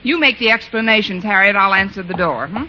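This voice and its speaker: An actress playing a sarcastic, lovelorn schoolteacher in an old radio comedy, with dry wisecracking delivery. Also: female voice, medium pitch, a little fast, close to an everyday voice. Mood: dryly joking. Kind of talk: 1940s-50s radio comedy acting. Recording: muffled, noisy old radio recording.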